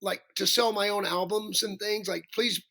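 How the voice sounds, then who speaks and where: A male singer with a raspy voice, chatting as a podcast guest, rambling and self-deprecating with many restarts. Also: high-pitched, steady tone, moderate pace, pushed and bright. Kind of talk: casual interview chat.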